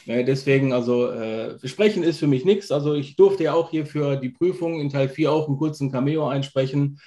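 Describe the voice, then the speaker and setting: A man with a fairly high voice hosts an interview on a video call. He talks casually from a living room, as a reviewer chatting with his guests. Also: fairly quick, mellow.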